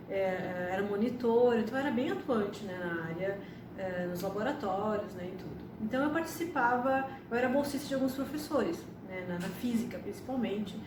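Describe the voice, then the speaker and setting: A woman, telling her own life story to camera in a chatty way, with frequent tag questions. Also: mid-range voice. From Argentina. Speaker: astrologer and psychotherapist. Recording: echoey room from a distance with background noise.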